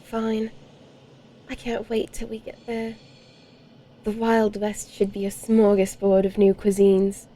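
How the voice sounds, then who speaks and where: Woman playing a wry, mellow-voiced vampire lady. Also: mid-range voice, completely flat delivery, slow pace, pauses often, a little hiss or hum, lightly acted. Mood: wry, grumbling. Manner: dry, theatrical, old-fashioned phrasing. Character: wry female vampire traveler, bloodthirsty and grumbling. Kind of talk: audio horror-comedy drama acting.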